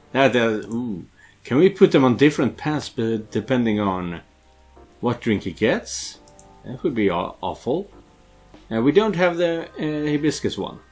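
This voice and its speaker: A man's voice: mid-range voice, darkish tone. A man doing a casual let's play, reading game text aloud and muttering hesitant asides. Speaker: game streamer dubbing a character. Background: background music.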